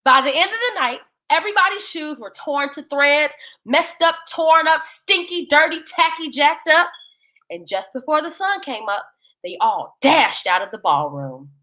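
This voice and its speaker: A woman reading a children's bedtime story in an expressive, dramatic, folksy way. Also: sits high, a little slow, low-quality recording.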